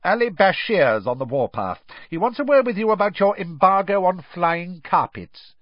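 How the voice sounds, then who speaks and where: A man's voice: sits high, expressive, moderate pace, no room sound, dull audio, voice pushed up. A man voicing a stiff, elderly official in an audiobook, dry, formal and impatient. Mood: brisk.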